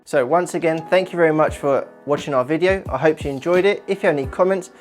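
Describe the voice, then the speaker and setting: A man's voice: a little high, fast talker, faint music behind. Man presenting a project walkthrough. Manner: informal, explanatory. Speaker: audio visual installer presenting company channel.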